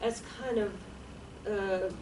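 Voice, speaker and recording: A woman's voice: medium pitch, fairly even intonation, slow pace, noisy background. A woman in a group discussion, rambling and thinking aloud, recorded across a meeting room. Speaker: group member. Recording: echoey room, distant.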